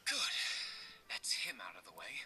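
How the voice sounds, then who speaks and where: A man's voice: lowish voice, huge pitch swings, moderate pace, sharp tone, faint music behind, full character voice. An actor playing a cool, disdainful young nobleman in a video game, terse and self-assured. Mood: cool confidence. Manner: aloof, terse, scornful.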